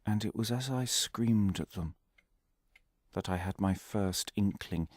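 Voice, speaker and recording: A man's voice: fairly low pitch, a little slow, dry recording, studio quality. An actor playing an unhinged, middle-aged male academic in an audio drama monologue, rambling and theatrical, given to muttering and ranting. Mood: fervent, conspiratorial.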